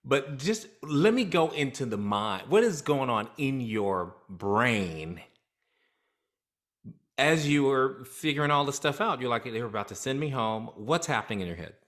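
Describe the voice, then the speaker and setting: Man, host, animated questioning, studio condenser mic, home studio. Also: medium pitch, a little slow. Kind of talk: casual interview. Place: home studio room indoors. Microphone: large studio condenser mic on desk.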